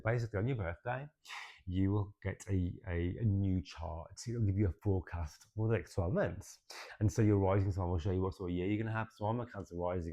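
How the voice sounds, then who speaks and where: A young man talks through a tarot and astrology forecast in a chatty, expressive way, often checking in with "right?". His voice sits low with a gravelly fry. The recording sounds a bit dull and lo-fi. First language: English. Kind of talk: casual chatty astrology reading.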